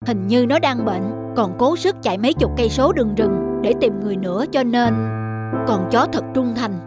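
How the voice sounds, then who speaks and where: A woman's voice: fairly high pitch, lively intonation, moderate pace, lightly acted. A woman voicing characters in a cartoon folktale with an earnest storytelling delivery. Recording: loud background music.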